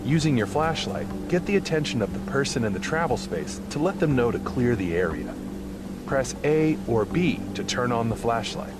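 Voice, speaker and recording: A man giving scripted, instructional training narration in a clear manner. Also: medium pitch, big pitch swings, moderate pace. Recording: music behind the voice. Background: prominent background music.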